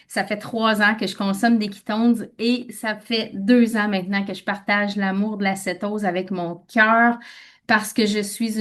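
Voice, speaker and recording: Emphatic Québécois woman, middle-aged. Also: mid-range voice. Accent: Québécois French. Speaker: webinar speaker. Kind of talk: personal testimony.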